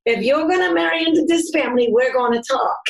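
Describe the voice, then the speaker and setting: An older woman from North America hosting an interview, telling a personal story in an animated, emphatic way. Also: extremely high pitch, moderate pace, creaky. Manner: animated, emphatic, voice rising when excited. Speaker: interview host.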